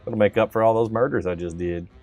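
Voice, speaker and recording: A man's voice: lowish voice, fast, a little mellow, no echo, muffled recording. Streamer, male, Southern-raised but neutral-sounding, rambling and casual. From Southern United States. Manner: rambling and chatty, with many fillers. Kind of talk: casual gameplay commentary. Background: faint game music.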